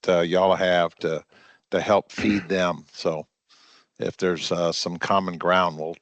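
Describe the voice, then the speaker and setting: A man with a very deep, monotone voice talks in a halting way, restarting his phrases. The sound is dry, heard over a video call. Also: no room sound. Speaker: committee representative. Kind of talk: casual meeting talk.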